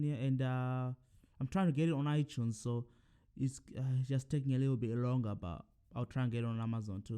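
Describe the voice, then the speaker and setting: A young man born in Zimbabwe talks loosely into his own podcast mic, his voice mellow and smooth. He wanders and restarts, with plenty of ums and quick corrections. It sounds like a relaxed solo chat. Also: mid-range voice. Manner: rambling, many fillers and self-corrections. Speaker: podcast host. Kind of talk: casual solo monologue.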